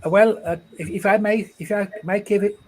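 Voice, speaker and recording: High-voiced man, earnest, restarting phrases. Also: fairly even intonation, moderate pace. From Ghana. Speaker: guest, rail project executive. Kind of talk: online panel discussion.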